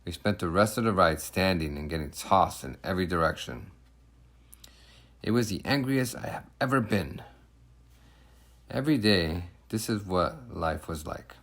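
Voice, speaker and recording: A man with a low voice narrates a children's picture book. He speaks in the first person with emphatic, deliberate phrasing, and his intonation moves up and down in a very lively way. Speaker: storybook reader.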